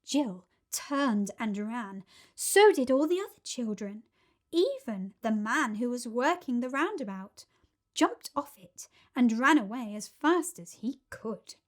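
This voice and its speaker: A woman reading a children's story aloud in expressive, dramatic narration. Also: a little high, takes it slowly.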